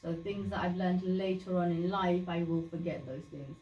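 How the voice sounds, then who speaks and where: A woman's voice: on the low side, steady tone, normal speed, muffled recording. A woman whose first language is Sylheti, giving an informal talk to a community group and explaining things plainly with lots of fillers and restarts. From Bangladesh. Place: community meeting room. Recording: echoey room, voice from a distance.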